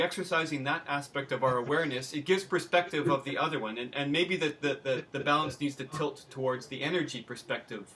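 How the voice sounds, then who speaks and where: A man interviewing conversationally, thoughtful and halting as he searches for his words. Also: on the high side, bright, forceful tone.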